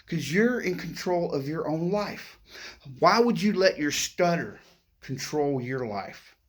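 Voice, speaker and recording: A man's voice: fairly high pitch, animated, slow pace. A middle-aged man who stutters, talking to the camera in a playful, upbeat way and often adding "okay?". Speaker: vlogger. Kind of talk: casual motivational talk to camera.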